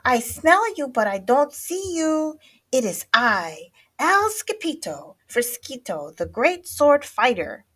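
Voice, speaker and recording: A woman's voice: fairly low pitch, wildly swooping pitch, a little slow, few pauses, breathy edge, exaggerated voice, poor audio. A woman voicing a swaggering make-believe Chihuahua sword fighter from a storybook, with a theatrical mock Spanish accent. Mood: boastful. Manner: theatrical, grandiose, playful mock-Spanish word endings.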